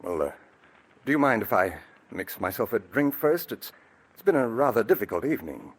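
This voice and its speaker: An actor playing a scheming male poisoner in a 1940s radio drama, with a husky voice and a silky, menacing way of talking full of hesitant fillers. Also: lowish voice, very animated, normal speed, full character voice. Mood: coaxing. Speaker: villain, Roger. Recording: old 1940s radio broadcast recording.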